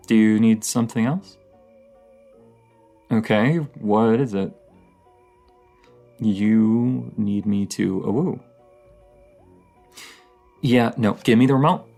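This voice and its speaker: A man playing a dorky, playful partner in an intimate audio roleplay, rambling, giggly and teasing, with a slightly creaky voice. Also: medium pitch, animated, takes it slowly, long pauses, darkish tone, faint music behind. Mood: reluctant, flustered. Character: dorky, playful young romantic partner, horror film nerd.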